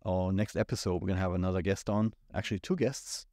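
A man in his forties with a German accent, chatting casually on a podcast in a small studio, relaxed and rambling with plenty of fillers. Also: low-pitched, quick pace, no room sound. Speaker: IT company owner, podcast co-host. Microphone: studio mic on boom at the mouth.

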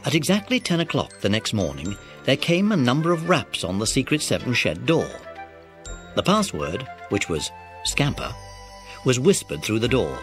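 A man's voice: mid-range voice, a bit bright. A man narrating a children's audiobook as a scripted storyteller.

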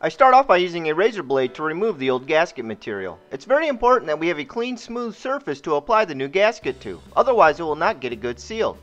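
A man's voice: fairly high pitch, big pitch swings, quick pace, few pauses, a bit bright. A man giving scripted how-to voice-over narration as a DIY host, in a muffled recording. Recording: muffled, band-limited voice-over with music. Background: music.

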